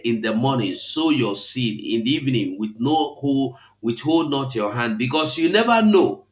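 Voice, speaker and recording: A man's voice: fairly low pitch, roomy sound. A man, hosting a live broadcast, exhorting and quoting scripture in a repetitive, preachy cadence. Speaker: online broadcast host.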